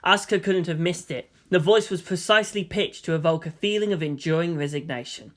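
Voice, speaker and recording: A woman's voice: lowish voice, fairly quick. A woman narrating a scripted story as its reader.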